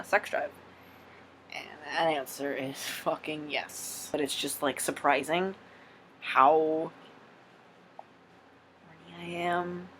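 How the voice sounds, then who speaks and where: A man's voice: a little high, fairly bright tone, a little hiss or hum. A young man casually answering viewer questions on his vlog, frank and rambling, swearing freely. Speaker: vlogger.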